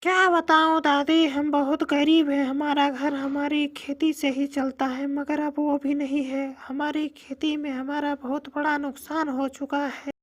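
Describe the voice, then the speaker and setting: A woman plays a poor young farmer in a moral-story cartoon. The voice is high and quite monotone, respectful and humble, with an earnest, plain delivery. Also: a little slow, few pauses. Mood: sad, pleading.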